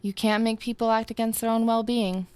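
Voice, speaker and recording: A woman playing a blunt, sharp-tongued resistance fighter in an audio drama, sardonic and ready to confront. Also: on the high side, little pitch movement, fairly quick, clear, resonant tone, dry recording, natural voice. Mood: bitter.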